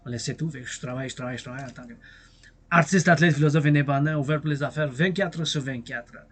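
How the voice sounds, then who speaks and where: Husky Québécois man, bilingual ramble. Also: mid-range voice, fast talker, a bit bright. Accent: Québécois French. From Quebec. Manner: switches between French and English, repeats phrases, breaks into song. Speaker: vlogger. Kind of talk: bilingual rambling livestream monologue.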